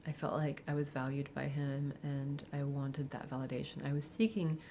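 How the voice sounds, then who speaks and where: A woman of forty speaking candidly and reflectively in a personal vlog, with soft laughs and hesitant fillers. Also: a bass voice, steady tone, normal speed, a little hiss or hum. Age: 40s. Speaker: vlogger. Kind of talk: personal confessional monologue.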